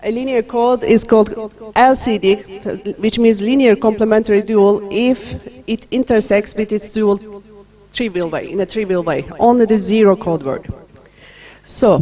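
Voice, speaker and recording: A woman from Turkey giving a university lecture, talking in a friendly way with many fillers and pauses. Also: fairly high pitch, warm tone.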